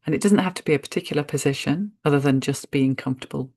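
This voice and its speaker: A woman guiding a meditation in a gentle, unhurried manner, speaking in short phrases with spacious pauses. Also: sits low, no room sound. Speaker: meditation guide. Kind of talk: guided meditation narration.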